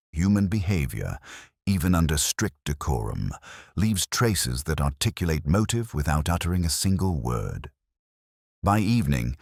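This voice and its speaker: Very deep male voice, man acting as observant detective inspector, measured formal storytelling, expressive intonation, reflective mystery narration. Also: no room sound, studio quality, exaggerated voice. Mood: reflective, methodical. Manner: measured, formal, literary diction. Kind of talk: scripted first-person detective story narration.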